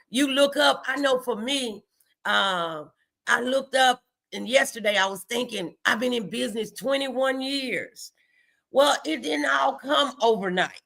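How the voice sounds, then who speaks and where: A woman's voice: a little high, gravelly, fairly bright tone. A middle-aged American woman hosting a motivational faith talk, exhorting listeners in an emphatic, preacher-like way. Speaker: host of a morning motivational show.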